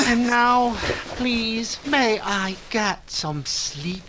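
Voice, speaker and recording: A man's voice: extremely high pitch, expressive, drawn-out words, background noise, heavily acted. A man playing a sleepy, long-suffering, dry-witted older husband in 1940s-style radio comedy. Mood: weary exasperation.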